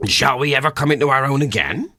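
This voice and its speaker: A man's voice: mid-range voice, animated, fast talker, sharp tone, dry recording, clean studio sound, voice pushed up. An actor voicing a middle-aged, naive and self-important country man with a West Country English accent and a wondering, wheedling manner, in a literary audiobook. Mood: eager, wheedling. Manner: rustic dialect, wondering and wheedling.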